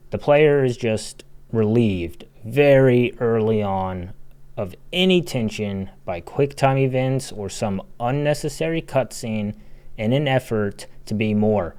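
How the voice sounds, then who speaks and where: A man's voice: on the low side, a little mellow, clear, resonant tone. A man giving opinionated video essay commentary in a blunt, casual, sweary manner. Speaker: video game critic, channel narrator.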